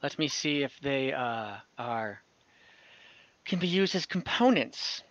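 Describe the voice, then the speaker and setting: A young man as dungeon master on a video call, relaxed and rambling with many fillers. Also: medium pitch, takes it slowly, dry recording. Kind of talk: running an online tabletop game. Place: home room. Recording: slightly dull video-call audio.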